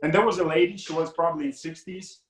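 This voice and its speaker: A man's voice: fairly high pitch, steady tone, fast, reverberant, a crowd in the background, poor audio. A man from Lithuania, a money mindset coach talking as a guest in an interview, rambling with many fillers and restarts. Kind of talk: casual interview talk.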